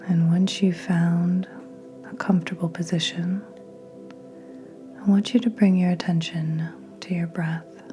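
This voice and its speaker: A woman guiding a meditation in a soft, breathy near-whisper, gentle and soothing, with long unhurried pauses. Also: fairly low pitch, little pitch movement. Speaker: podcast host and meditation guide. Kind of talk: guided meditation narration. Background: soft meditation music with singing bowls.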